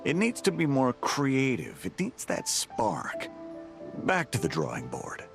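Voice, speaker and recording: An actor playing a demanding, patronizing company boss in a video game, with a condescending, theatrical delivery. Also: male voice, medium pitch, huge pitch swings, a little slow, vocal fry, full character voice. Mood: patronizing, critical. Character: demanding, patronizing male company boss who turns flustered. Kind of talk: animated video game voice acting. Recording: music playing behind the voice.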